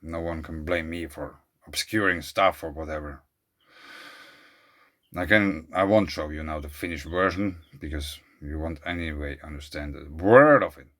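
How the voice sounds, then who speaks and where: A man whose first language is German, speaking English in an earnest, questioning monologue to camera, often trailing off quietly. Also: rumbling low, normal speed. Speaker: video creator. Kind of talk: casual monologue to camera, reading out an email.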